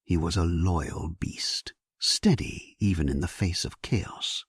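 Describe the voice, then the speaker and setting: Slow, solemn man narrating, low and dry. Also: breathy edge, no echo. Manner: solemn, measured, theatrical. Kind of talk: dramatic first-person scripted sleep-story narration.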